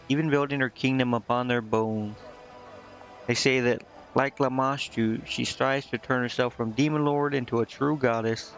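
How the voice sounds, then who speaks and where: Male game streamer, medium pitch, casual read-aloud and commentary, self-correcting stumbles, slightly muffled sound, background game music. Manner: stumbles over read text and corrects himself.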